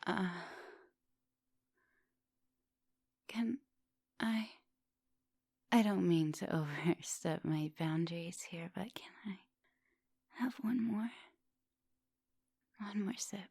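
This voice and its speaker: A woman playing a seductive, gentle vampire professor in an intimate ASMR roleplay, murmuring and whispering coaxingly up close. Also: medium pitch, slow pace, pauses often, dry recording, full character voice. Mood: hungry, pleading. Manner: breathy murmurs and whispers, coaxing pet names. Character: seductive female vampire professor, hungry yet gentle and polite. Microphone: close microphone.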